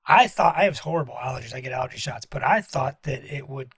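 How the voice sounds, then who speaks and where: A man hosting a casual interview, talking in a nasal, raspy, slightly congested voice, rambling with lots of fillers and restarts. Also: mid-range voice, lively intonation, quick pace, fairly bright tone, no echo, studio quality. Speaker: host, obesity medicine doctor.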